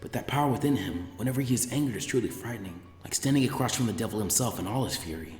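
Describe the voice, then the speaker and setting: A man puts on a gentle young female ninja for a story reading. She is polite and hushed, with formal phrasing. Her tone is devoted and a little unsure of herself. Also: lowish voice, lively intonation, rapid-fire, husky, soft background music, voice pushed down. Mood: quiet awe.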